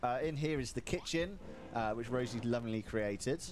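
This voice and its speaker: A young male streamer talks in a relaxed, joking way, showing viewers around a build as he plays. His voice is mid-pitched, with a faint hiss under it. It was recorded on a desk mic in a small room at home. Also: normal speed. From United Kingdom. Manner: joking, chatty tour guide. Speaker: streamer co-host. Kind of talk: casual game stream chat and tour.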